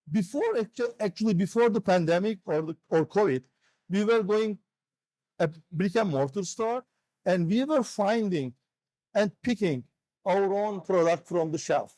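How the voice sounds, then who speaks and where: A man's voice: sits high, a little mellow, no echo. A man from Turkey with a Turkish accent, a company founder giving a trade show presentation with non-native phrasing and frequent fillers. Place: noisy trade show floor.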